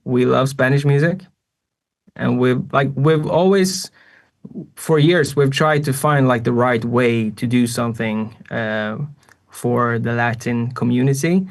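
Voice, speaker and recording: A man from Sweden with a Swedish accent, a musician answering interview questions in a relaxed way with many fillers. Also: medium pitch, unhurried. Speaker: musician guest.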